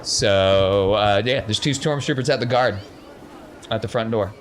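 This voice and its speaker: Low-voiced man running a tabletop game. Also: measured pace, slight background noise. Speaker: game master. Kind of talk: casual tabletop game narration.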